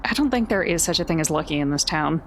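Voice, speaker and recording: A woman's voice: low voice, animated, quick pace, slight background noise. A woman playing a tabletop roleplaying game, talking through her options in a casual, conversational way.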